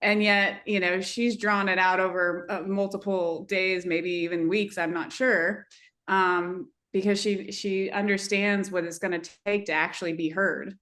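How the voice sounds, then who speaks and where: Female host, medium pitch, informal conversational delivery, reflective and a little halting as she thinks out loud. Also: level tone. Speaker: podcast host. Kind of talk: casual conversational storytelling.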